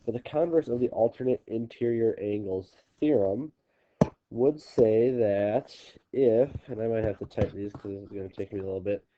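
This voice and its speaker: Stuffy-nosed male teacher, lowish voice, halting explanations, dull home recording. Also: slight background noise. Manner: halting, restarting explanations with many ums. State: congested, stuffy nose. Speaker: geometry teacher. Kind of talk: recorded classroom video lesson.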